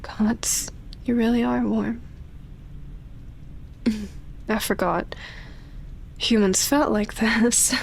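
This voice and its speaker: Slow, breathy, yearning female vampire, acted by a woman. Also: fairly high pitch, steady tone, a little hiss or hum, heavily acted. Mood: awed, hesitant. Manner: slow, hesitant, sighing delivery with long pauses. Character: ancient lonely female vampire, tender, yearning, seductive. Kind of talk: intimate ASMR roleplay audio drama.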